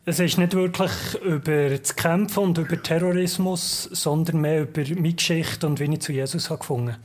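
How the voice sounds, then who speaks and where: Man, fairly high voice, live church interpreter. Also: steady tone. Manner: quick rendering, occasional restarts.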